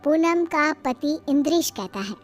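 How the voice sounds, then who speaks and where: A woman reads a scripted moral tale as a storyteller. Her voice is extremely high in pitch. Faint music plays behind the narration. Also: expressive, measured pace.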